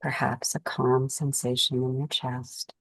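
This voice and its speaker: Very low-voiced woman guiding a meditation. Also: no echo, rough recording. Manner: calm, soothing, unhurried phrasing with pauses. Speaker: meditation guide. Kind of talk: guided meditation, gentle spoken instruction.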